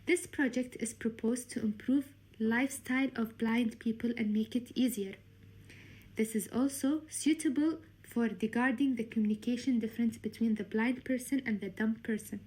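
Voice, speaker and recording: A woman's voice: a little high, steady tone, moderate pace, a little mellow, breathy edge. A woman presenting a student project in a formal, scripted explanatory style.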